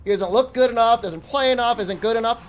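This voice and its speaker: A man's voice: very high voice, quick pace. A man mimicking a whiny, entitled stream viewer who complains nonstop. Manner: nagging, complaining.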